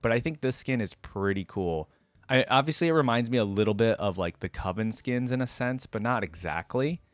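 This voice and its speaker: A man's voice: medium pitch, expressive, a little fast, dry recording. A man hosting a reaction video with casual, informal commentary, thinking aloud as he goes.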